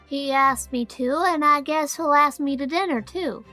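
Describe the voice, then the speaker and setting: Bright, high-pitched little boy. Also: moderate pace, faint music behind. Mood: eager, chatty. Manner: childlike, earnest, questioning. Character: inquisitive, outspoken little farm boy. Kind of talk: audiobook character acting.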